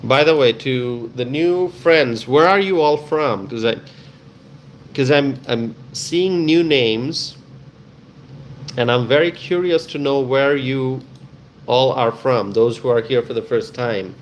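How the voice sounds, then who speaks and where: A man's voice: medium pitch, takes it slowly, slight background noise. A man with a Bangladeshi accent hosting a casual live stream, chatting with viewers in a friendly, welcoming way and often repeating himself.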